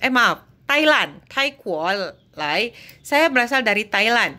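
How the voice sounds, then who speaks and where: A woman gives a Mandarin lesson at a very unhurried pace, stretching out each model word before she explains it. Her voice sits a little high and sounds bright and clear, and she now and then stops to correct herself. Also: very animated. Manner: slow, drawn-out model pronunciation, self-correcting. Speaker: Mandarin teacher. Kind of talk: language lesson explaining vocabulary.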